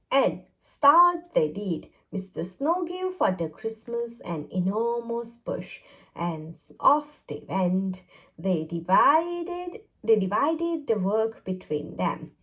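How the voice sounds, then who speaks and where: Female storybook reader for young children: deliberate, highly animated intonation, slow delivery. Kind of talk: children's read-aloud narration.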